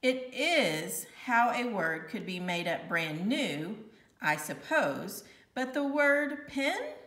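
A woman voicing a stern older female grammar teacher in a children's storybook, speaking formally and deliberately. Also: fairly high pitch, big pitch swings, a bit bright, full character voice. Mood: firm, reproving. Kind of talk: children's storybook read-aloud. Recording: echoey room, distant mic.

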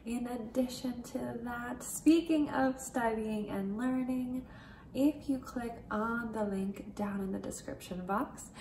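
A young woman hosting an English-learning channel, speaking slowly and clearly to her viewers from home. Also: on the high side, darkish tone, echoey room, recorded from a distance, a little hiss or hum, poor audio. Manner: slow, clear, friendly address to learners. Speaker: host, English teacher. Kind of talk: video outro to viewers. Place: home room with plants and shelves.

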